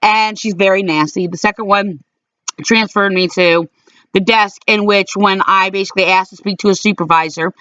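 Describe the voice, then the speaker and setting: Middle-aged woman, aggrieved, stop-start delivery, smooth voice, dry close lo-fi sound. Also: medium pitch, steady tone, fairly bright tone, no room sound. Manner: halting and aggrieved, many fillers and restarts. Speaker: complainant recounting calls to police. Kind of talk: personal recorded monologue. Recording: dull lo-fi dry recording.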